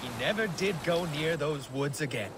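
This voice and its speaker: A man acting as a boastful Viking warrior in a video game, spinning a tale with theatrical amusement. His high voice swings widely in pitch, and loud water and boat noise runs behind it. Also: expressive, moderate pace, natural voice. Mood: amused, mischievous. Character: boastful male Viking warrior recounting a boyhood prank. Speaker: storyteller. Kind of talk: dramatic video game storytelling.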